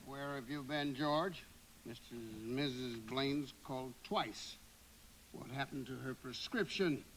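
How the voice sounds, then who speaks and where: Guy doing a drunk grieving pharmacist in a radio play, slow and harsh, bit of noise behind. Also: medium pitch, lightly acted. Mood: drunken anger. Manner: harsh, accusing, drawn-out. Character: angry, grieving, drunken male druggist. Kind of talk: live radio play acting.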